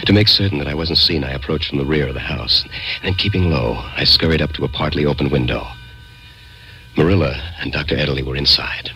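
Very deep-voiced, suspenseful male narrator. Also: slight background noise, exaggerated voice, poor audio. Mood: suspenseful, absorbed. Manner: deliberate, suspenseful, expressive. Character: curious, insistent man narrating his eerie mystery. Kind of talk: dramatic first-person radio mystery narration. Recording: radio drama with music behind.

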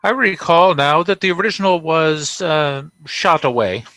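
An actor playing a pompous, flustered dealer in an old radio comedy, speaking in florid, formal phrases. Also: male voice, a little high, lively intonation, measured pace, pushed and bright, dry recording. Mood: grudging. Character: pompous, flustered male trophy dealer defending his goods. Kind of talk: 1940s radio comedy acting.

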